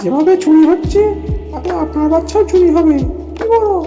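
A woman voicing a clever, excitable monkey parent in a cartoon, pleading and crafty. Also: very high-pitched, brisk, music in the background. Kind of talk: cartoon character dialogue.

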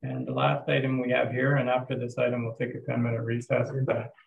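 Brief: canadian mayor, gravelly and flat-toned, chairing a council meeting, roomy call audio. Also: male voice, mid-range voice, fairly quick, narrow, tinny audio. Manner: plain, steady, moves motions along.

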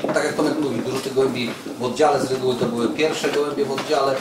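Man, casual presenter, quick speech, echoey distant recording, loud room noise. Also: medium pitch, steady tone. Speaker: presenter filming a sale video. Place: indoor room.

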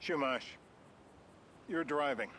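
An actor playing a cool, curt criminal operative in a video game, terse and matter-of-fact. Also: male voice, a little high, slow pace, noisy background. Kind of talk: video game cutscene acting.

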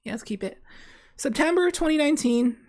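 A woman's voice: high voice, animated, fairly quick, a little mellow. A young woman talking casually while playing a card game on stream, rambling with many fillers and restarts, in a small dim room. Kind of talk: casual gameplay commentary.

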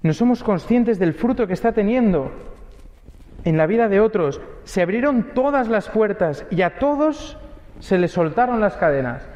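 A young priest from Spain, speaking with a Spain Spanish accent, gives a conversational homily at Mass, full of rhetorical questions and little tag words. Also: male voice, high voice, animated, moderate pace, darkish tone. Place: church. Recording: dull, lo-fi audio with long church reverb.

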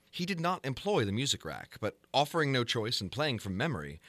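A man narrating a gothic horror story in a formal, measured, theatrical manner, as in a dramatic audiobook. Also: on the low side, fairly bright tone, no room sound.

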